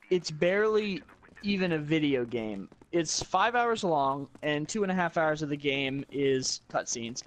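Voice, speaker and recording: A man with a voice a little higher than average talks casually about video games. He is opinionated and blunt, stating his views plainly as a guest would.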